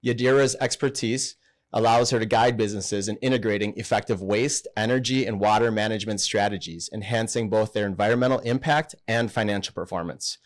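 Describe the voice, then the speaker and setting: Guy hosting a podcast, low-ish voice, chatty and upbeat, lots of yeahs. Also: brisk. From southern Wisconsin. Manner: upbeat, affirming with frequent "yeah" and "mm-hmm". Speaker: podcast host. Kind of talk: casual interview chat.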